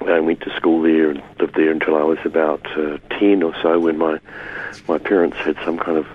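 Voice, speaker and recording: Deep, husky, droll older New Zealand man. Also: flat delivery, fairly quick. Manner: wry, droll, self-deprecating humour. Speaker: interview guest, community development academic. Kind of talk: conversational storytelling interview. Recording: narrow, muffled radio-like remote line.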